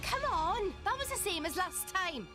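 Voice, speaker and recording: Feisty, lively, high-voiced sarcastic girl. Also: moderate pace, over music. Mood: exasperated. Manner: mocking, blunt, sassy. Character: feisty, sarcastic young cat-eared girl. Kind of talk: video game cutscene acting.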